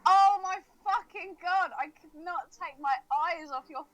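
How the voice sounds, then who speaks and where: A woman's voice: very high voice, measured pace, crowd noise. A woman co-hosting a podcast in casual chat, teasing and swearing freely as she banters. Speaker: podcast co-host.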